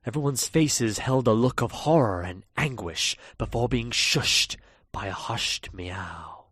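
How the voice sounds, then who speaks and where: A man's voice: mid-range voice, animated, slow, fairly bright tone, husky, no echo, heavily acted. A man playing an ominous storyteller, reading scripted horror-tale narration to his audience.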